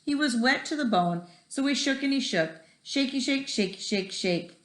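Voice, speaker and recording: A woman's voice: high voice, animated, normal speed, fairly bright tone. A woman reading a children's picture book aloud expressively, with a rhythmic delivery that draws out repeated words. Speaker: read-aloud storyteller. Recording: echoey room.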